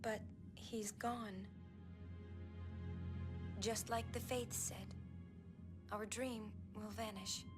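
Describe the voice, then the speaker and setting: An actress playing a gentle, wistful young game heroine, hushed and hesitant. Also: female voice, mid-range voice, fairly even intonation, drawn-out words, pauses often. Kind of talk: video game character dialogue. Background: game music.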